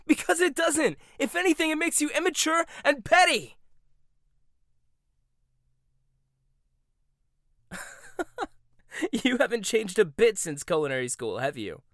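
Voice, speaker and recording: A man's voice: extremely high pitch, sing-song, normal speed, a bit bright, dry recording, studio quality, full character voice. A man playing a proud, combative young head chef in an audio roleplay, talking in a sarcastic, confrontational way. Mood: mocking. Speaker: voice actor.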